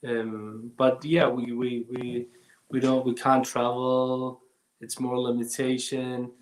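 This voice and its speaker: Slow, halting man in his thirties from Iraq. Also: mid-range voice, completely flat delivery, echoey room, low-quality recording. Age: 30s. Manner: halting, many restarts and fillers. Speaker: guest filmmaker. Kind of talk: casual interview over video call. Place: home during lockdown. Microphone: video call.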